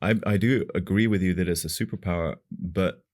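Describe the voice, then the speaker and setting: A man with a low voice speaks in a reflective conversation. He is thoughtful and tentative, searching for the right words as he builds his point. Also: brisk, darkish tone.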